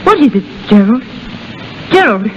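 A woman's voice: a little high, sing-song, moderate pace, a little hiss or hum. An actress playing a kindly, anxious English mother of a grown son in a 1930s radio drama. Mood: concerned. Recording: muffled 1930s radio broadcast with music.

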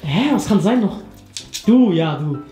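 A young man chatting casually and excitedly in as he plays a guessing game with friends. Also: high-pitched, a little slow. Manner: excited, slangy exclamations. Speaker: player guessing in a pantomime game. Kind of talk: casual joking game chat among friends. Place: echoey room indoors at home. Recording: echoey room with music behind.

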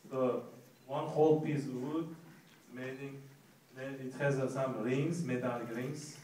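Male percussionist, Uzbek accent, halting stage explanation, middle pitch, distant mic in a very reverberant theater. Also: fairly even intonation, darkish tone. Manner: halting, searching for English words. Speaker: percussionist giving a demonstration. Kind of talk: live stage talk introducing an instrument. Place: large theater stage, indoors. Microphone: stage microphone, recorded from the audience. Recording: echoey, noisy theater recording from far off.

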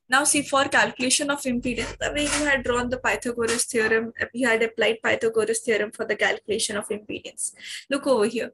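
A woman with an Indian English accent teaching a physics class online, husky from a sore throat, explaining each step and often addressing the class. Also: a little high, a bit bright, slight background noise, rough recording.

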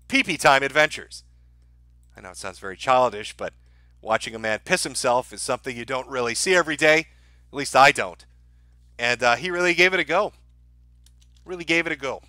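A man's voice: mid-range voice, pushed and bright, dry recording, studio quality. A man giving casual livestream commentary as host, with a sardonic, mocking and profane way of talking.

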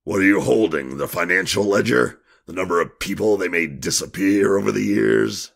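A man playing a gruff, impatient man of action in an audio drama. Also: sits low, moderate pace. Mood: grumbling, impatient.

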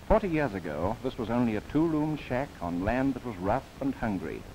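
Lively 1930s newsreel narrator, man. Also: mid-range voice, moderate pace, low-quality recording. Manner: lively, playful asides. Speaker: documentary narrator. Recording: old 1939 film soundtrack with faint hiss.

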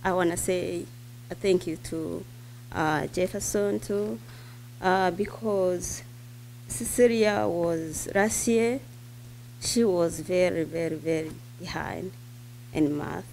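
A middle-aged mother whose first language is not English, speaking haltingly and searching for words at a school board meeting. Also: lowish voice, fairly even intonation, darkish tone, a little hiss or hum, low-quality recording. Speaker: parent speaker. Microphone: podium microphone.

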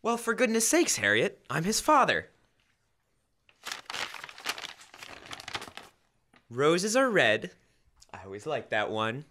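A teenage male actor playing an excitable, meddling suburban father in old-time radio comedy style. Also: on the high side, a little hiss or hum, heavily acted. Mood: amused. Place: school library. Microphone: standing stage microphone.

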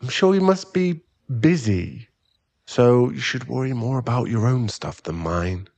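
A man playing an irritable, weary sugar daddy boyfriend who wants space, in a halting, sighing ASMR roleplay audio drama. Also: mid-range voice, animated, darkish tone. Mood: placating.

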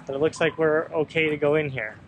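A man's voice: on the high side, quick pace, a little hiss or hum, rough recording. A man in his thirties talking casually outdoors as co-host of a vlog, on a handheld camera. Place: outdoors on trails and roadsides.

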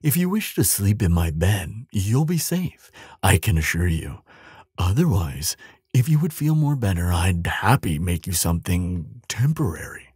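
A tender, earnest giant acted by a man murmurs in a very husky, breathy voice, close and dry. He takes his time, soothing and affectionate, with lilting, expressive intonation, as in an intimate ASMR roleplay. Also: low-pitched, no echo, heavily acted. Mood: reassuring. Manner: tender, unhurried, hesitant murmuring with soft hums and sighs.

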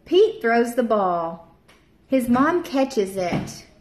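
Slow, lively woman teacher reading aloud. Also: on the high side. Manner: slow, expressive read-aloud, bright voices for the characters. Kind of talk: reading a picture book aloud to young children. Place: home room, seated at a table with a child. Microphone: camera at table distance. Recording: echoey room.

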